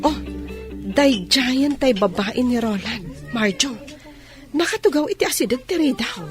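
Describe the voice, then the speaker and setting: Excitable, high, swooping female sidekick played by an actress. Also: measured pace, over music. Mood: curious. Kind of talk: radio comedy drama acting.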